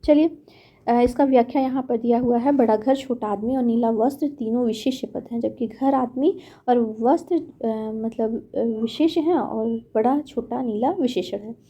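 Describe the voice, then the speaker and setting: High-voiced woman teaching grammar. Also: moderate pace, warm tone, husky. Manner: patient, repeats points with thinking fillers. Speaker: exam prep teacher. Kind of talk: explaining grammar questions step by step.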